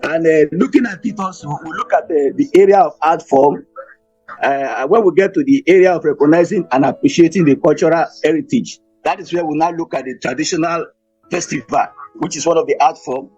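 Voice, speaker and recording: A Nigerian man delivers a formal talk with a husky, mellow voice set a little high. He speaks deliberately, phrase by phrase, like a seasoned guest lecturer. The audio is dull, with music behind him. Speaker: invited speaker, professor and motivational speaker. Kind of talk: formal conference presentation.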